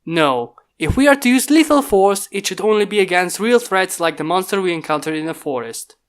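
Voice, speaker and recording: A stern, commanding man, as a story reader plays him, an inventor directing his robot bodyguards. He speaks formally at a medium pitch, in the style of an audio storybook. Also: expressive, a little fast, close to an everyday voice. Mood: measured. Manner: stern, formal, giving orders.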